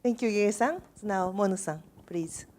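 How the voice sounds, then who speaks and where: A woman's voice: mid-range voice, moderate pace, a little mellow. A woman moderating a university video, formally introducing international students one by one. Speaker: faculty moderator.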